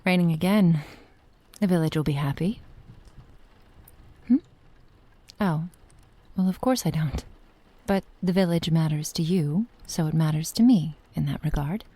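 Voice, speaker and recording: A woman playing a possessive, doting witch in an intimate ASMR roleplay, speaking softly and unhurriedly, often slipping into a whisper. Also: sits low, slightly rough, dry recording. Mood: calm, possessive. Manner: soft, unhurried, affectionate, often dropping to a whisper.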